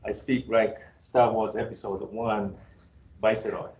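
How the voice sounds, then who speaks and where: A male university professor with a Japanese accent, opening the first day of class in a casual, joking way, halting now and then with fillers. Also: lowish voice, level tone, a little mellow. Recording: echoey, dull classroom recording with faint hiss.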